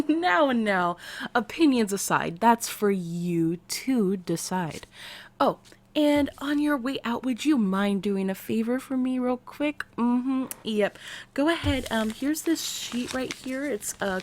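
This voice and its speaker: A woman with an American accent playing an eccentric, eager military scientist acting as therapist in an intimate, chatty ASMR roleplay that dips into soft whispers. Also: medium pitch, lightly acted.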